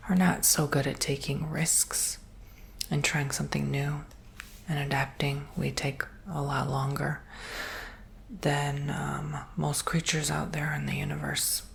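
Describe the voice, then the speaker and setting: A man acts out an earnest salesman in an ASMR roleplay, whispering slowly and gently. The delivery is breathy, flat and reassuring, with a crackly edge and a faint hiss behind it. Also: fairly high pitch. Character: soft-spoken, earnest male robot salesperson.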